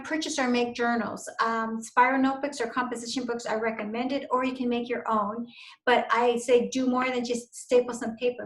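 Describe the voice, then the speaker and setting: A woman's voice: a little high, fairly bright tone. A middle-aged woman, a university instructor, giving an earnest, encouraging recorded lecture to teachers over slides. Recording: echoey room.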